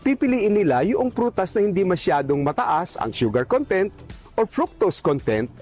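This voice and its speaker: A man's voice: sits high, big pitch swings, normal speed, darkish tone, soft background music. A man speaking as a doctor, giving earnest, instructive advice in a sponsored health segment.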